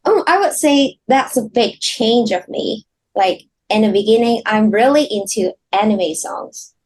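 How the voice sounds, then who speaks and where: A woman from Hong Kong talking as an interview guest over a video call, soft-spoken and halting, often laughing. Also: fairly high pitch, lively intonation, husky, low-quality recording. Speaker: guest singer. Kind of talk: casual interview chat.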